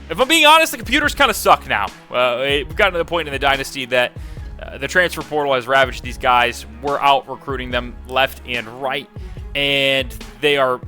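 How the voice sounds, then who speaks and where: A young man hosting an online video game football league, recapping the week's scores in an energetic, joking way with quick restarts. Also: fairly high pitch.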